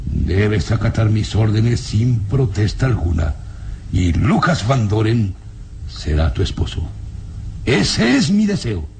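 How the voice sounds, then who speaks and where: A man's voice: fairly low pitch, vocal fry, darkish tone, slight background noise, full character voice. An actor playing a stern, domineering aristocratic father in a radio drama, speaking formally and imperiously. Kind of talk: old-time Spanish-language radio drama acting.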